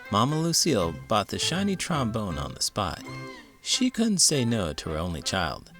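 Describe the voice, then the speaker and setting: A man reading a children's storybook aloud in an expressive, theatrical narrating style. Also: fairly low pitch.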